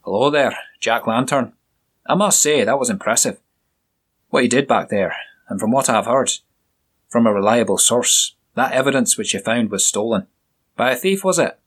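A man voicing a big, jovial Scottish police sergeant in a one-man audio story, raised and booming above his own voice, with a husky edge. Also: expressive, normal speed, a bit bright. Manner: booming, jovial, probing questions. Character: burly Scottish police sergeant, hearty and shrewd.

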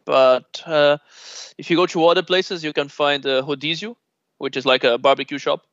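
A man's voice: fairly high pitch, moderate pace, no room sound. Brazilian man, Portuguese native, easygoing interviewee; muffled dry recording. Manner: relaxed and chatty, laughs often, many filler uhs. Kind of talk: casual conversational interview. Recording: dull lo-fi dry sound.

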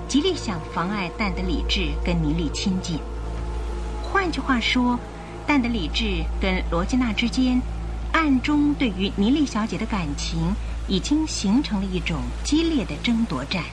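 Lady narrating a radio drama, very expressive pitch, music behind her.

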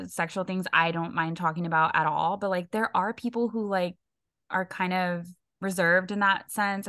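Young woman, casual podcast chat, mid-pitched, dry recording, frequent laughs. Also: fairly bright tone, no echo. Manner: chatty, lots of likes and laughs. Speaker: podcast co-host.

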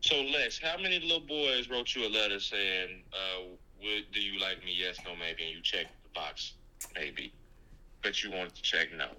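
A man's voice: sits low, moderate pace, sharp tone, poor audio. A man co-hosting a podcast in casual banter, blunt and teasing, with profane slang.

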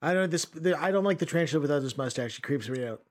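A man's voice: a little high, expressive, rapid-fire. A man hosting a casual comic book podcast, joking and reading panels aloud. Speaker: podcast host.

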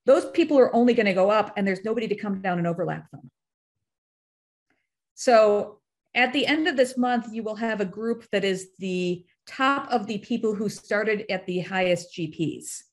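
A woman's voice: mid-range voice, moderate pace. Female podcast guest, mid-range voice, analytical and exact, conversational delivery. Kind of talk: analytical casual discussion.

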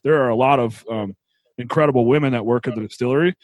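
A man's voice: medium pitch, fast, dry recording. Eager rambling man, dry close sound. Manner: rambling and eager, heavy use of "like". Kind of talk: casual conversation.

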